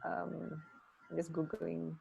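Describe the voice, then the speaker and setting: Woman radio cohost just chatting, kinda low voice, really slow, thinks out loud and trails off. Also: monotone, creaky, a little hiss or hum, rough recording. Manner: musing, hesitant, trailing off. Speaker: radio co-host. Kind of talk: casual, unscripted radio chat.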